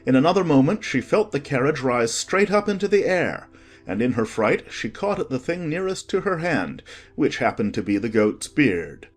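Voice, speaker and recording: A male narrator reads a scripted storybook with very animated, swooping intonation. Light music plays behind him. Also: mid-range voice, moderate pace.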